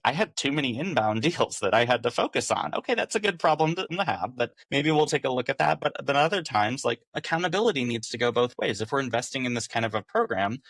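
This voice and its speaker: A man's voice: mid-range voice, a bit bright, dry recording. A man giving casual, talkative interview answers as a podcast guest, informal and often acting out imagined quotes. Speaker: podcast guest, business operations director.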